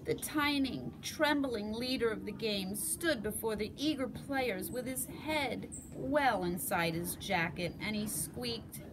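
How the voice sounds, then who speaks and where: A woman teacher reading a picture book aloud, telling it slowly and expressively. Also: on the high side, noisy background. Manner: slow, expressive storytelling.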